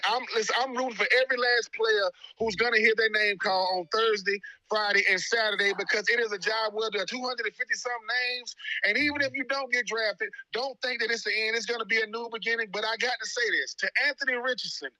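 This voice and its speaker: A man in his forties gives heartfelt commentary in a quick, emphatic rhythm like a preacher. His voice sits high and sounds very bright, recorded close and dry. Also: steady tone, dry recording, low-quality recording. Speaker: guest, radio host and former pro football player.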